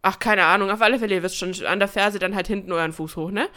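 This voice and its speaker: Woman, medium-pitched voice, expressive casual storytelling, chatty podcast host, joking. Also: fast talker. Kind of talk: casual chat, personal storytelling.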